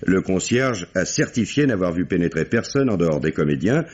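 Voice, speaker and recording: An actor playing a calm, probing police commissioner who questions witnesses with measured authority in an old radio play. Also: male voice, deep voice, slightly rough, full character voice. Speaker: police inspector. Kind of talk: 1950s radio drama acting. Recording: dull old radio broadcast with faint hiss.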